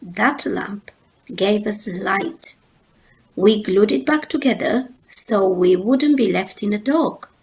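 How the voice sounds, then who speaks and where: A woman voicing an earnest, fatherly male bear from a storybook, with a deliberate, homespun way of talking. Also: medium pitch, gravelly, low-quality recording.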